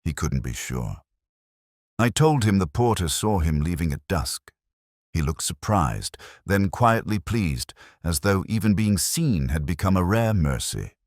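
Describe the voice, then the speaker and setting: A man narrating a mystery in the first person as a weary, reflective detective, in a measured, literary, brooding manner. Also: sits low, big pitch swings, a little mellow, dry recording, clean studio sound. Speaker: narrator of a detective audio drama.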